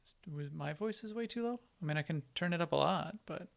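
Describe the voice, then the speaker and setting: Gravelly man chatting on a game stream. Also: fairly high pitch, animated, a little fast. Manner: chatty, answers viewers while playing. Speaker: live streamer playing an online game. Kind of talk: casual live-stream chat.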